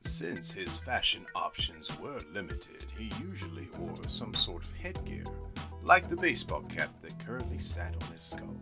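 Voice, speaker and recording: A man reading a spooky storybook narration in a playful, expressive way. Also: sits low, normal speed, music in the background.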